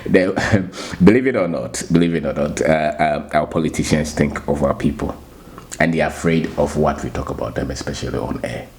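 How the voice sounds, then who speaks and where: A middle-aged man from Ghana with a Ghanaian accent, talking casually to his channel, unhurried and often repeating himself. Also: extremely low pitch, a little mellow, a little hiss or hum. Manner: unhurried, repeats words and restarts, chuckles at himself. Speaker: channel host. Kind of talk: casual talk to camera.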